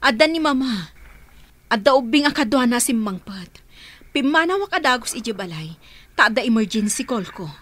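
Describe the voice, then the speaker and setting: A woman voices an earnest, caring woman in a radio drama, her voice sitting a little high and sounding close and dry. She asks gently but with concern. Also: lively intonation, normal speed, no room sound. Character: caring woman named Flora, recently returned, concerned for orphans.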